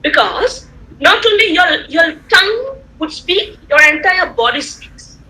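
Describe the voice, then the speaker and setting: A woman whose first language is Tamil, giving an animated online lecture to students, repeating points and checking that they follow. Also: extremely high pitch, measured pace, rough recording. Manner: animated, repetitive, rhetorical questions to listeners. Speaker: guest lecturer. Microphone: computer mic on a video call.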